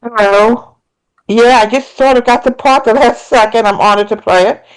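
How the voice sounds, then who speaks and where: A woman's voice: on the high side, fairly even intonation, normal speed, clear, resonant tone, rough recording. A woman, a theater actor, giving a casual self-introduction.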